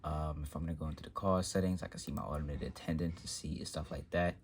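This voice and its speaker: A man's voice: extremely low pitch, steady tone, fast talker, slight background noise. A man giving an unscripted, casual walkthrough of a technical setup, rambling and often trailing off with filler phrases. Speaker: tech vlogger demonstrating an office phone system.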